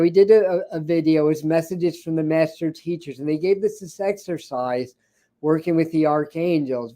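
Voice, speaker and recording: A man with a scratchy, hoarse voice hosting a spiritual livestream, chatting casually with many fillers and restarts. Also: fairly high pitch, mellow. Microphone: ring light setup, speaking to camera.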